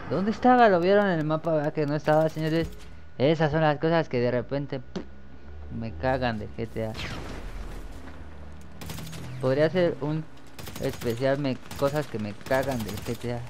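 A young man with a Mexican accent doing excitable live commentary over a shooter game, cursing whenever he gets killed. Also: medium pitch, background noise. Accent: Mexican Spanish. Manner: excitable, swears when killed. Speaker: gameplay commentator.

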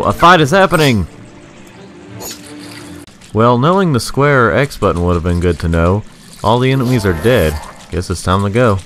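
A man's voice: on the low side, fairly bright tone. A man reading a scripted, rhyming video game review in a sarcastic, sing-song manner.

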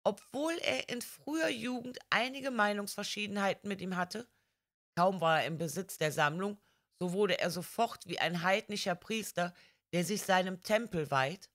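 A woman voicing a proud, defiant young woman who confides family secrets in an audiobook, earnest, formal and deliberate. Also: mid-range voice, a bit bright, natural voice. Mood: earnest confiding. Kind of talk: audiobook character reading.

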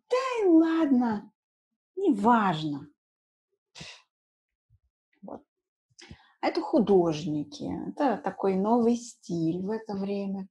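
A woman in her thirties gives an informal language lesson in a soft-edged, husky voice. Her tone is mellow and her pace is slow. She explains things simply, repeats key words and checks in with short tag questions. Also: medium pitch. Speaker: language teacher. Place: small room indoors at home.

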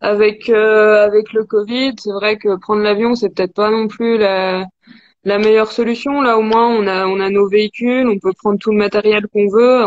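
Casting: young woman athlete, informal interview answers, medium pitch, dull video-call sound. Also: darkish tone. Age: 20s. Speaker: athlete being interviewed, cross-country skier. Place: indoors in a wood-panelled room. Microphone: video call, device at arm's length.

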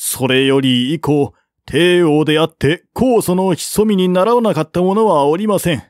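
A man's voice: unhurried, no room sound, clean studio sound, natural voice. A man voicing an earnest, learned envoy in an audiobook, urging peace on his prince in formal, persuasive speech. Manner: formal, persuasive, higher-voiced.

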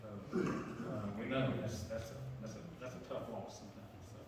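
A middle-aged man leading prayer as pastor, plain-spoken with some halting fillers, heard from a pulpit mic in a large church sanctuary. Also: on the low side, fairly even intonation, very noisy. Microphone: pulpit mic, camera far back. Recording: echoey sanctuary from far off.

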